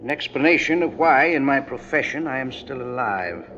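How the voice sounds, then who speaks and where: A man's voice: mid-range voice, expressive, normal speed, a bit bright, lightly acted. An actor playing a polite, menacing gunman in a 1950s radio thriller, speaking in a formal, precise, coldly courteous way. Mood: cold menace. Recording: muffled, noisy 1950s radio recording.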